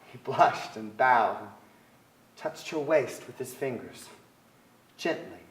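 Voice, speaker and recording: A man's voice: medium pitch, lively intonation, slow. An actor playing a wistful man recalling his boyhood in theatrical literary narration. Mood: dramatic, admiring. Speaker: stage actor in a staged reading. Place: theatre stage. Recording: echoey theatre hall. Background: violin music.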